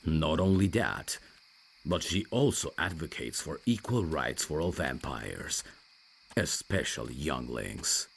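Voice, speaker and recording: A man playing a reserved, rational vampire messenger in an audio roleplay, dry and guarded, with a gravelly, deep voice. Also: lively intonation, slow, slight background noise, exaggerated voice. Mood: calm, serious. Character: reserved, rational male vampire messenger, over fifty years undead.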